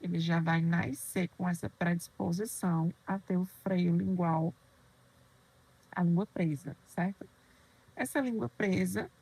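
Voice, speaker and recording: Woman lecturer, husky warm tone, didactic, checks in with the listener often. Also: lowish voice, rough recording. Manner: didactic, poses questions and answers them, frequent "né?". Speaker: pediatric dentistry lecturer. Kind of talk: explanatory lecture.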